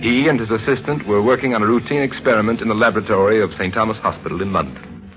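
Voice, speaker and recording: A man giving grave, dramatic scripted narration in a suspenseful 1950s radio style, with deliberate emphasis. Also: on the low side. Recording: muffled vintage radio recording. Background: music.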